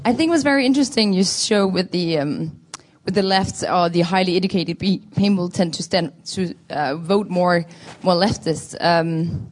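A woman's voice: fairly low pitch, normal speed, darkish tone, slight background noise. A young woman student, asking a question from the audience and searching for her words. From Denmark.

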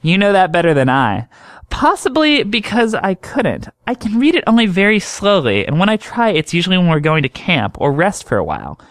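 A man's voice: high-pitched, wildly swooping pitch, fairly quick, a bit bright, no room sound, voice pushed up, exaggerated voice. A man voicing an earnest young man who explains his strange dreams in a thoughtful, reasoning way.

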